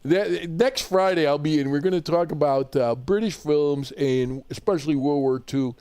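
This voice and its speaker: Chicago-accented male radio host, chatty and rambling, slightly high voice, dry close studio mic. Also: normal speed, dark tone, clear, resonant tone, no echo. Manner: chatty, rambling, repeats words when starting. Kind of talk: live radio call-in chat.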